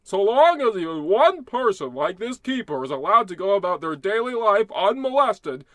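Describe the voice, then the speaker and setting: A self-proclaimed hero of a radio-play parody played by a man, high-voiced and sharp. He is pompous and paranoid, declaiming everything with bombast as a great American crusader. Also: very animated, normal speed, no room sound. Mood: righteous bombast. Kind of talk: parody of old radio drama.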